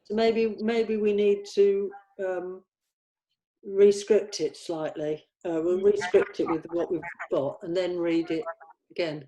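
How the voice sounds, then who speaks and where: A woman talking casually and haltingly as she organises a script table read, a little tentative. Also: fairly low pitch, steady tone, dark tone, poor audio. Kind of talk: casual meeting chat.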